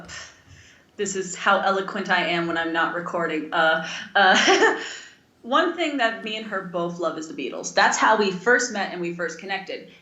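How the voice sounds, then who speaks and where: In a roomy, echoing space, a young woman with a bright, forward voice talks casually as a podcast co-host. She rambles enthusiastically, in the loose style of a chat among friends. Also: mid-range voice, lo-fi sound.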